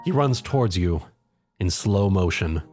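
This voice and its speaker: Animated male story narrator. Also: low-pitched, a little slow, soft background music. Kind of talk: animated second-person comedic story narration.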